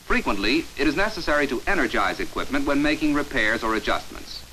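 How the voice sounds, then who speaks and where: An American man delivering scripted instructional narration for a 1950s training film in an authoritative, measured, emphatic announcer manner, on an old film soundtrack. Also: mid-range voice, very animated, bright, forceful tone, a little hiss or hum, rough recording.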